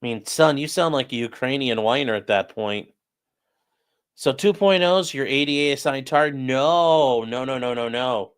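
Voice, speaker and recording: A man's voice: mid-range voice, moderate pace, clean tone. Joking man chatting on stream. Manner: joking, sarcastic, talks straight to chat. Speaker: streamer and legal commentator. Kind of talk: casual livestream chat reading viewer comments while building a model.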